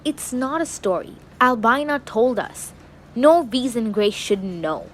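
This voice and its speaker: Teen girl playing a blunt, high-voiced cynical factory girl. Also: expressive, a little slow, slight background noise. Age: teenage high school student. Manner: dismissive, matter-of-fact. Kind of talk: radio drama acting.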